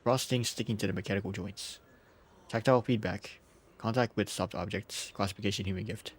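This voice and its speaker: A man's voice: on the low side, fairly quick. A man doing casual, chatty live gameplay commentary as a streamer, with game music behind him. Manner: chatty, laughs often, casual swearing. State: feeling unwell. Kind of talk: casual live gameplay commentary, reading story summaries aloud.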